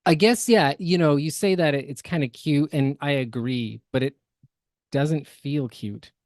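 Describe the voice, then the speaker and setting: A man co-hosting a podcast, giving casual critique in a hesitant, measured way. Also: a little high, dry recording, clean studio sound. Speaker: podcast co-host.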